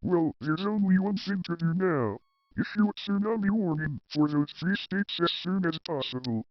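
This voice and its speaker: Man acting as blunt warning chief, terse, slightly high voice, amateur audio drama, dry muffled recording. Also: lively intonation, moderate pace, dry recording. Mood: decisive. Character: blunt male warning chief, incredulous, foul-mouthed, decisive.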